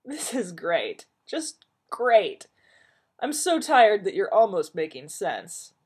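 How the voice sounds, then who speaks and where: A woman's voice: medium pitch, very animated, slow pace, a little mellow, breathy edge, voice pushed up, full character voice. A woman voicing a neurotic, irritable male doctor who complains in an exasperated, whiny way. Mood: wry.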